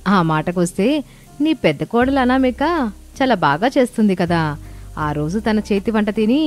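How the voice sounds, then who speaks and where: A woman playing an older woman, a teasing, meddling old friend in an animated story, familiar and prodding. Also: medium pitch, moderate pace, lightly acted. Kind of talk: animated moral story dialogue. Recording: voice over prominent music bed.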